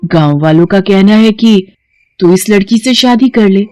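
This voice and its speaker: A woman's voice: medium pitch, measured pace, darkish tone, soft background music, close to an everyday voice. A woman voicing an older village mother, concerned and kindly, in a dramatised horror story.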